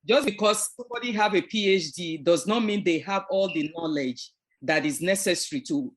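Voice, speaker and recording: Podcast host, earnest and opinionated, mid-pitched voice. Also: normal speed. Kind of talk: interview conversation.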